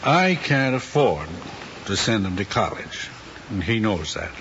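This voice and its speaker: An anxious, ambitious middle-aged father in a mid-century screen drama. He speaks earnestly and haltingly. The old soundtrack is dull, with a faint hiss behind him. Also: lowish voice, very animated, fairly bright tone, heavily acted. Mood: wistful, earnest.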